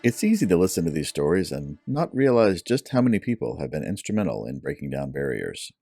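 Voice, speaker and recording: A man's voice: very deep voice, very animated, a little fast, mellow, husky, faint music behind. A man presenting a segment with a scripted introduction.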